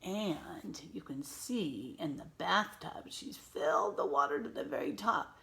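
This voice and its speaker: Creaky-voiced woman reading to young children. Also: low-pitched, animated, fairly bright tone, whispered. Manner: instructive, asks questions and pauses to explain words. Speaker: teacher reading a picture book aloud. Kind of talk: read-aloud lesson for young children with simple explanations.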